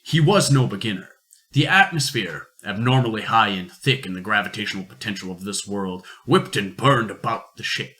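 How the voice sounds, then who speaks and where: A man narrating an amateur science-fiction radio play in deliberate storytelling phrases. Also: lowish voice, expressive, sharp tone.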